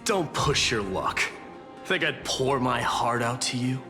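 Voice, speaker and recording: A man as a haughty, slow-spoken warrior prince. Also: mid-range voice, animated, lightly acted. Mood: scornful. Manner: haughty, stern, imperious. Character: proud, disdainful warrior prince. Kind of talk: English video game voice acting. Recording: long reverb, music behind.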